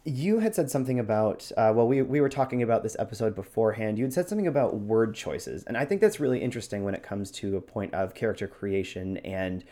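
A man's voice: lowish voice, lively intonation, fast talker, hardly any pauses, warm tone. A man co-hosting a podcast, talking in an explanatory way about voice technique. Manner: demonstrates points with his own voice. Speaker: podcast co-host. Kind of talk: explanatory podcast talk on voice acting.

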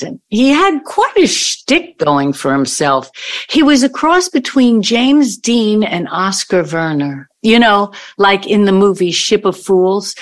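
A woman's voice: mid-range voice, lightly acted. A woman in an audio play acting an older ex-girlfriend who is hesitant at first, then bitterly accusing. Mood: reminiscing.

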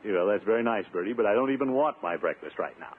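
A man's voice: fairly low pitch, expressive, fast talker, breathy edge. A man playing a pompous, blustering middle-aged uncle in 1950s radio comedy style, indignant and self-important. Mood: stubborn. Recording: tinny, noisy 1950s radio recording.

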